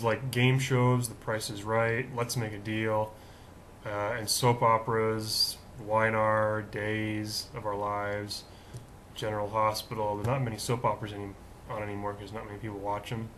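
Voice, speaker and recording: A man with a deep voice hosting his own daily vlog, rambling in a chatty, self-mocking way with lots of fillers. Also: little pitch movement, normal speed, fairly bright tone, a little hiss or hum. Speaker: vlog host. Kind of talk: casual rambling vlog monologue.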